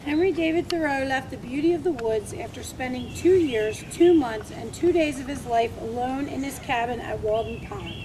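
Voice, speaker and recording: A woman's voice: high voice, normal speed, few pauses, noisy background. A young woman narrating a school play, reading the text aloud plainly.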